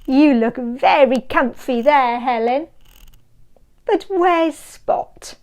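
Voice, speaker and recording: A woman reading a picture book aloud to small children, sing-song and inviting, drawing the listener in with questions and hushed counting. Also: high voice, slow pace. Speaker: storybook reader.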